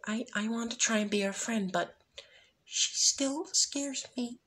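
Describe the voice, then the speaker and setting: A performer voicing a timid, gentle young pony girl who mumbles hesitantly, in a story reading. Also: mid-range voice, unhurried, slightly rough, voice pushed up.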